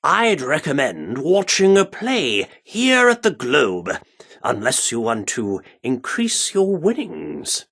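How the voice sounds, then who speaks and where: Florid, swooping British theatre grandee. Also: male voice, on the high side, huge pitch swings, takes it slowly, creaky, fairly bright tone, full character voice. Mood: earnest, reverent. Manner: florid, grandiose Shakespearean turns of phrase. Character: pompous, excitable British theatre director obsessed with Shakespeare. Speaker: video game character voice. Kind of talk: theatrical game dialogue.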